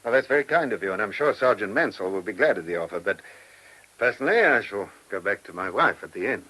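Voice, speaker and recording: An actor with a British accent playing a brisk, probing chief inspector with a husky voice in a radio play. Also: male voice, fairly low pitch, expressive, bright, forceful tone, exaggerated voice, low-quality recording. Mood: businesslike. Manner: questioning, authoritative. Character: chief police inspector, brisk, married, courteous but probing. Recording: old radio drama recording.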